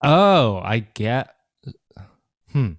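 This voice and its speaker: Male podcast co-host, mid-pitch, profane and rambling, dry close mic. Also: big pitch swings, extremely slow, dry recording. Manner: swears a lot, rambling. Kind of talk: casual podcast banter.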